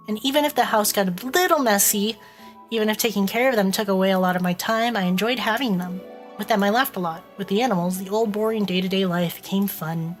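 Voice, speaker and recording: A woman streamer with a stuffy, congested voice, reading a visual novel aloud and breaking off to joke with her chat. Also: medium pitch. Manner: playful, reads dialogue aloud and breaks off to joke.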